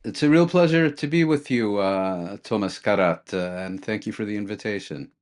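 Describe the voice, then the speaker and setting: A man from the Netherlands, a guest analyst answering interview questions in a measured, hesitant way with many restarts. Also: fairly low pitch.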